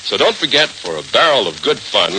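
A man's voice: medium pitch, very animated, brisk, background noise. An American man announcing in an emphatic, theatrical 1940s radio style, on a vintage broadcast recording.

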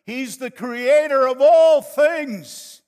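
A man's voice: extremely high pitch, extremely slow, darkish tone. An older man from northern Wisconsin with an Upper Midwest accent, preaching a folksy, chatty sermon that builds to fervent passages. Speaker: pastor.

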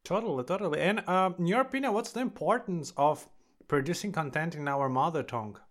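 A man's voice: a little high, lively intonation, brisk. A man hosting a podcast interview who agrees readily and asks follow-up questions. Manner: agreeable, asks questions, says totally often. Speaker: podcast host. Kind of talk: conversational interview.

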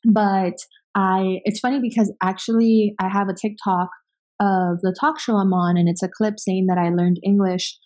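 A woman in her thirties, born in Venezuela, telling her story as a podcast guest in chatty, quick run-on sentences with many fillers. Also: medium pitch, flat delivery, darkish tone. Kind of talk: casual conversational interview.

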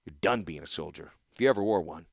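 A man's voice: sits low, fast, vocal fry, no echo, clean studio sound, voice pushed down. Gerard, a man doing a stern veteran weapons master. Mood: commanding. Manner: calm, commanding, dryly threatening.

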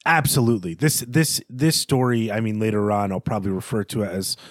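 A man co-hosting a book podcast, analysing fiction in a thoughtful, measured way as he searches for his words. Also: on the low side, no room sound, studio quality. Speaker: podcast co-host. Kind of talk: literary analysis in conversation.

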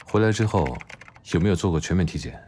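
A man's voice: low-pitched, animated, fast, darkish tone, slight background noise. A man acting in an audio drama as a cool, blunt doctor who speaks in a curt, stern, matter-of-fact way. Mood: cool, clinical. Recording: music behind.

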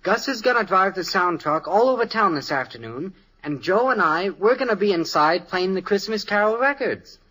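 An American actor playing an eager, brash teenage son in a 1950s radio sitcom, enthusiastic and slangy. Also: male voice, high-pitched, animated, moderate pace, few pauses, sharp tone, exaggerated voice, poor audio. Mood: proud salesmanship.

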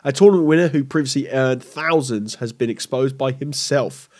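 A man's voice: medium pitch, moderate pace. A man hosting a casual solo gaming news podcast, stuffy with a cold, rambling and correcting himself as he goes. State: congested with a cold. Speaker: podcast host.

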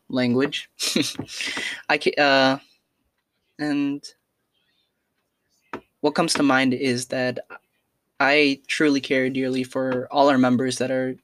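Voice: medium pitch, a bit bright, a little hiss or hum. A young adult student talking through a casual personal podcast in an earnest, hesitant way, with many fillers and restarts.

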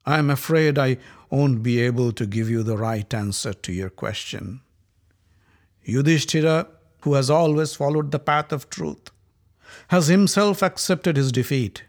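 A man voicing a grave old elder who speaks softly and carefully in a storybook reading. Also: medium pitch, close to an everyday voice. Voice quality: soft, measured.

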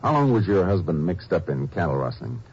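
Deep-voiced, grim lawman. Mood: firm. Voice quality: calm, low, measured. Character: steady, grim veteran lawman. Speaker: Texas Ranger and narrator. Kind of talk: 1950s radio drama acting. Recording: noisy, muffled old radio broadcast.

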